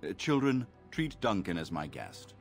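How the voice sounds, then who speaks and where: A stern old elf elder from a fantasy video game. The voice is extremely deep and creaky, with expressive rises and falls. He speaks formally, in measured phrases. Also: soft background music, heavily acted. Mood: stern concern. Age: older. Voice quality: deep, gravelly.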